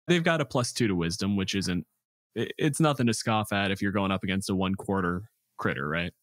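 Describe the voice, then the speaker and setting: A man talking casually as a podcast co-host, explaining game creatures in an animated way. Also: low-pitched, a little fast, no room sound, clean studio sound. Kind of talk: casual chat about tabletop game monsters.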